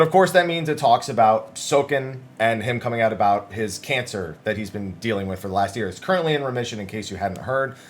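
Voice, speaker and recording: Guy doing casual gaming news recap, normal pitch, chatty and emphatic, rambles into side notes. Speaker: gaming news video host. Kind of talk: casual news commentary, talking through interview summaries.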